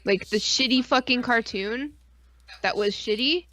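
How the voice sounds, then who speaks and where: A woman's voice: fairly high pitch, unhurried, a bit bright, slight background noise. A woman co-hosting a casual stream, joking crudely in a blunt, profane, irreverent way. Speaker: co-host of a weekly pop culture stream.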